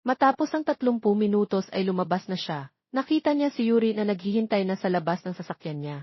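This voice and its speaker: Husky woman narrating, dull dry recording. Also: mid-range voice, expressive, quick pace, no room sound. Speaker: storyteller, narrator. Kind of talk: scripted narration of a romance story with acted dialogue. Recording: dull lo-fi, close dry sound.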